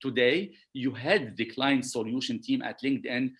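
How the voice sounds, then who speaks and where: A man with a Lebanese accent opens a live online discussion as its host. He reads out his guest's introduction in a halting way, with frequent filler sounds between phrases. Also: medium pitch, fairly bright tone. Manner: hesitant, many filler "uh"s.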